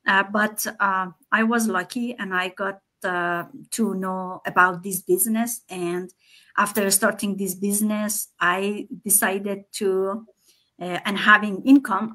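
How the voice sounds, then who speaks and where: A woman co-host speaks on a casual livestream with an Afghan accent. Her pitch is medium for a woman. She talks haltingly, pausing often as she searches for the next phrase. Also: a bit bright. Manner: hesitant, halting delivery with frequent pauses. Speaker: co-host, engineer. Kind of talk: casual self-introduction on a livestream.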